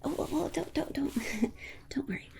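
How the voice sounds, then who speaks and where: Female performer as alien researcher for ASMR roleplay: whispery with clear vocal fry, awkward, chatty, stammering, eager to soothe. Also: high-pitched, animated, normal speed, heavily acted. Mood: gently reassuring. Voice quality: breathy whisper with creaky soft voice. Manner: hesitant, stammering, awkwardly reassuring, nervous giggles. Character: nervous, chatty, well-meaning alien scientist abducting a human.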